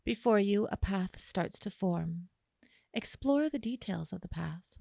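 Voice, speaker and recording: A woman guiding a listener through an imagery meditation in a slow, soothing way, with long pauses. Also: mid-range voice, expressive, gravelly, mellow, no echo, studio quality. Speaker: meditation guide. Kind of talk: guided imagery meditation narration.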